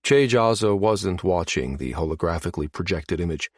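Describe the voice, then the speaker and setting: A man's voice: deep voice, expressive, moderate pace, dry recording, studio quality. A man reading a science fiction novel as scripted narration. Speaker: audiobook narrator.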